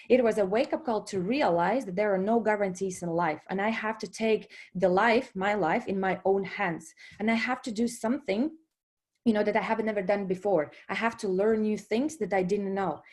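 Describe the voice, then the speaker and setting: A woman's voice: mid-range voice, a little mellow. Latvian-accented woman with hesitant delivery. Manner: hesitant, many fillers and restarts, checks in with "okay?". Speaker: presenter at a business webinar. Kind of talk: speaking to an online audience.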